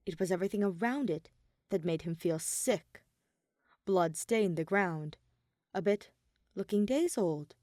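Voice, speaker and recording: A woman reading fan fiction as a scripted dramatic narration, with deliberate pauses and emphasis. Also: medium pitch, warm tone, no room sound, clean studio sound.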